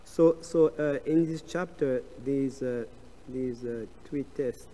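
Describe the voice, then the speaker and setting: A man with a strong French accent giving a devotional scripture class, speaking in a halting way with frequent hesitations and restarts. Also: mid-range voice, mellow. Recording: long reverb of a large hall.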